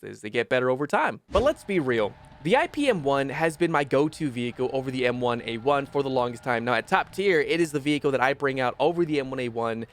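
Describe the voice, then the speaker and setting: A young man with a phlegmy, rough voice commenting casually on a game, rambling and correcting himself as he gives his opinions. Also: medium pitch, fairly bright tone. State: phlegmy, rough voice after illness. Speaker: gaming video host.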